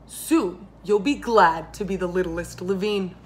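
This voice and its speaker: A patient old grandfather from a children's storybook, read by a woman. He speaks gently and reassuringly, and the recording has noticeable background noise. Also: lowish voice, a little slow, close to an everyday voice.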